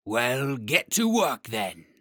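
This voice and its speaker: A huge armored dragon, as a male narrator puts him on, drawling each word slowly with a damp, spluttering edge. His sly politeness swings through a lively, rising and falling pitch. The sound is close and dry. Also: no echo, exaggerated voice. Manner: slow and drawn out.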